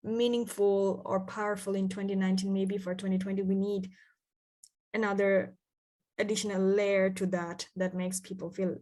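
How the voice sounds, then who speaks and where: A woman's voice: mid-range voice, level tone, moderate pace, warm tone. A young woman from Bangladesh, a climate activist, answering interview questions thoughtfully with many fillers and restarts.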